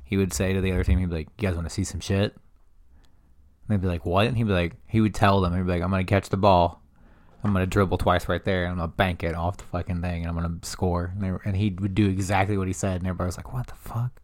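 A young man co-hosting a podcast, chatting casually and telling lively, profane stories. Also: low voice, quick pace. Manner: animated storyteller, swears freely. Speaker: podcast co-host. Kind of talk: casual chat.

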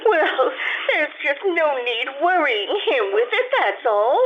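A woman's voice: high-pitched, very animated, takes it slowly, dull audio, a little hiss or hum, exaggerated voice. A woman in a 1950s-style radio drama playing a flustered wealthy wife who hides a gambling debt, hesitant and stammering. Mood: defensive.

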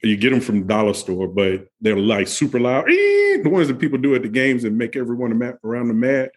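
A man guest on a video call, relaxed and telling stories with many fillers. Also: mid-range voice, a little fast. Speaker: guest, camper.

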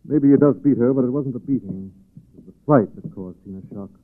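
A cool, assured gentleman sleuth played by a male radio actor, quick-spoken at a medium pitch. The 1940s radio drama sound is narrow and phone-like. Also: close to an everyday voice. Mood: calm, determined.

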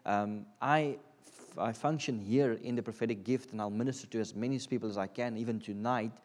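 Halting South African man preaching, low voice. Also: darkish tone. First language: Afrikaans. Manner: hesitant, lots of ums and restarts. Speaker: guest preacher. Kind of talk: informal sermon. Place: church sanctuary. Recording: reverberant church hall.

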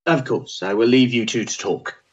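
An actor playing a bluff middle-aged Victorian physician with dry, wry humour, in a period stage drama. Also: male voice, medium pitch, moderate pace, muffled recording, natural voice.

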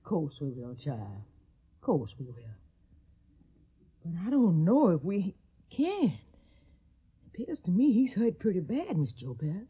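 A woman's voice: on the low side, wildly swooping pitch, slow pace, long pauses, mellow, slightly rough, muffled recording, exaggerated voice. An actress playing a protective, motherly older household mammy with a Southern US accent in a radio drama. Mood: somber, fearful. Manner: scolding, motherly, folksy.

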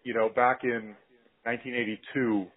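A man's voice: mid-range voice, little pitch movement, normal speed, husky. A man hosting a podcast interview in an informal, agreeable, enthusiastic way. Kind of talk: casual interview chat. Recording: narrow phone-like audio.

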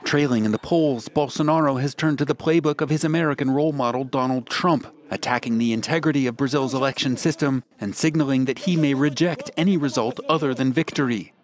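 A man's voice: mid-range voice, a little fast, few pauses. A man narrating a scripted news report as a correspondent.

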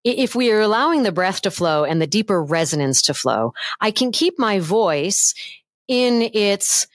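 A middle-aged woman voice coach chatting as a guest on a remote interview, animated and playful, demonstrating voice techniques. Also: unhurried, dry recording, clean studio sound. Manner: animated and playful, demonstrates pitch changes with her own voice. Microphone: headphones with mic, remote call.

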